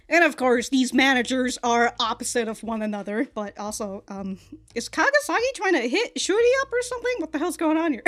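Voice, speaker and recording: A woman's voice: mid-range voice, a bit bright. A woman hosting an anime reaction, chatting casually, laughing often and stumbling over repeated words.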